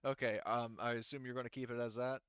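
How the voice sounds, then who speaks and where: A man's voice: mid-range voice, flat delivery, fast talker, a bit bright, clear, resonant tone, dry recording, dull audio. A man running a tabletop role-playing game as game master, talking rules casually and swearing freely.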